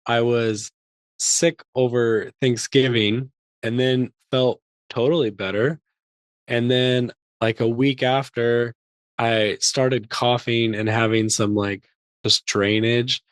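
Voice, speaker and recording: A man co-hosting a podcast in casual chat, his voice hoarse and gravelly and cracking after an illness, rambling with many fillers. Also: mid-range voice, steady tone, takes it slowly, no room sound. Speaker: podcast co-host.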